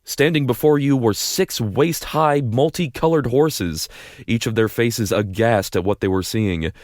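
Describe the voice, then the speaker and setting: A man's voice: mid-range voice, a little slow, darkish tone, dry recording, studio quality. A man narrating a fan audio drama in the second person, casual and wry.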